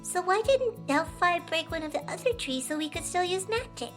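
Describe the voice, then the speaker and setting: A woman voicing a little opossum girl in an animated fandub, curious and bluntly skeptical. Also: extremely high pitch, moderate pace. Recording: loud music behind the voice.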